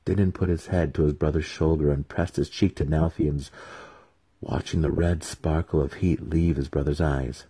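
A man giving a dramatic scripted narration of a fantasy audiobook in a husky voice. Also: a bass voice, steady tone, moderate pace, warm tone.

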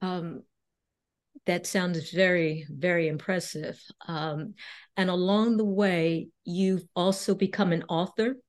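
A woman hosting an interview, asking polite questions in a deliberate way with occasional fillers. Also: fairly low pitch, steady tone. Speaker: interviewer, host.

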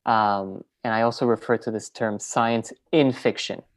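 A woman filmmaker and teacher, in a relaxed interview, who talks in loose, rambling sentences full of fillers and restarts. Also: rumbling low, normal speed. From French Venezuelan, raised in New York. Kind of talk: casual interview conversation.

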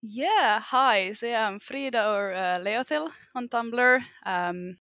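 A woman's voice: fairly high pitch, slow, fairly bright tone, no echo. A woman from Finland with a Finnish accent, a guest on a podcast, telling her story casually with laughs.

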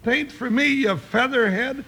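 An American actor playing a big, folksy, blustery cowboy sidekick in an old-time radio western, with a high, gravelly voice. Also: male voice, unhurried, sharp tone, full character voice. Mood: exasperated. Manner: folksy drawling frontier talk, impatient exclamations. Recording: hissy old radio broadcast recording.

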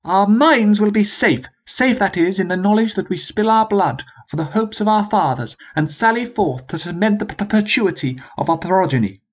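A pompous, blustering sergeant voiced by a man who stumbles over his grand words while rallying his troops. His voice sits far above the reader's own, husky and swinging widely in pitch. The recording sounds dull and lo-fi. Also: animated, brisk, hardly any pauses. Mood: rousing, pompous. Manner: stammering, grandiose speechmaking. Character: pompous blustering male army sergeant, middle-aged.

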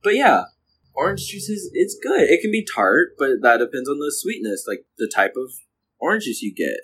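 A man's voice: on the high side, big pitch swings, moderate pace, fairly bright tone, breathy edge. A young man co-hosting a podcast in casual chat, playful and laughing often. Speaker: podcast co-host. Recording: poor audio quality.

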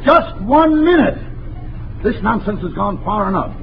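Exasperated high-pitched 1940s radio dad. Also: male voice, very animated, a little slow, gravelly, crowd noise, full character voice. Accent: American. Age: middle-aged. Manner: sputtering, emphatic. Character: exasperated, blustering suburban father. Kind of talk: 1940s radio comedy acting. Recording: muffled, noisy 1940s radio recording.

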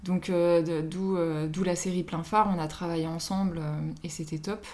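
A woman's voice: lowish voice, fairly even intonation, moderate pace, darkish tone. A woman from Paris with a French accent, speaking as an interview guest in a casual, chatty way and often quoting herself. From Paris, France. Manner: informal, chatty, self-quoting. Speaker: interview guest, social media influencer.

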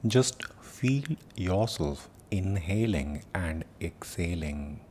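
A man leading a guided meditation as teacher, giving soft-spoken instructions with long pauses. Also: animated. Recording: noisy with low rumble.

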